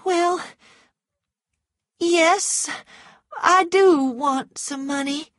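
A woman's voice: very high voice, extremely slow, a bit bright, dry recording, clean studio sound, pitched above the performer's own voice, full character voice. A woman voicing an anxious, coquettish young Southern woman who pleads sweetly in an audiobook story. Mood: sweetly pleading.

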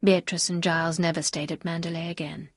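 A woman voicing a bitter, anguished upper-class husband in an audiobook, speaking gravely and confessionally. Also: on the low side, level tone, brisk, dry recording, clean studio sound, pitched below the performer's own voice. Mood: grave confession. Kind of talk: audiobook character dialogue.

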